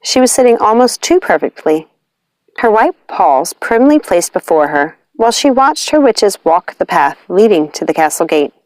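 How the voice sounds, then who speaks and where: A woman reading a fantasy storybook aloud in an expressive narrating style. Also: medium pitch, moderate pace, no echo, rough recording. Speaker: audiobook reader.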